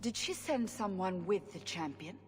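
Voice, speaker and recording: A woman's voice: mid-range voice, lively intonation, normal speed, a little mellow, big-room sound, natural voice. An actress playing a suspicious female interrogator who speaks curtly and demandingly. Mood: stern. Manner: curt, demanding.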